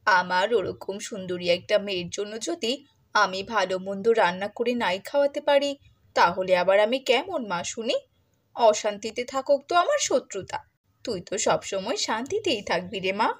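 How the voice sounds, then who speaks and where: A woman's voice: mid-range voice, expressive, normal speed, natural voice. Woman playing a cruel, theatrical middle-aged stepmother. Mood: doting, smug. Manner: scolding, gushing, theatrical. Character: cruel middle-aged stepmother, doting on her own daughter, scornful. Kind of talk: Bengali cartoon dubbing.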